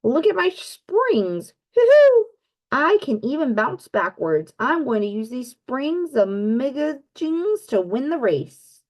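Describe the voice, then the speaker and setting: A brash cartoon-style tiger, cheery and boastful, whooping high and bright as he brags about his bounce, as a woman puts him on. The voice leaps up in pitch with loud, gleeful exclamations. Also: big pitch swings, slow, heavily acted, poor audio.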